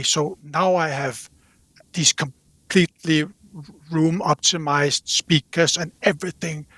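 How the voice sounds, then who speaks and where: A man speaking as an interview guest in casual conversation, haltingly, repeating words as he thinks aloud. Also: on the high side, sharp tone, slightly rough, dry recording. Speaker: podcast guest from a loudspeaker company.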